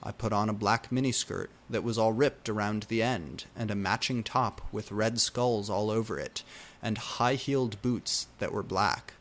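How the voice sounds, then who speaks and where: A man giving a comedic dramatic reading of fan fiction, deadpan and theatrical with drawn-out emphasis. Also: fairly low pitch. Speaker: fanfiction reader.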